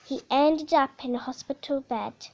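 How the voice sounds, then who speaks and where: A woman reading a children's picture book aloud in a theatrical, measured way, with dramatic emphasis. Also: high-pitched, a little mellow. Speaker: story reader.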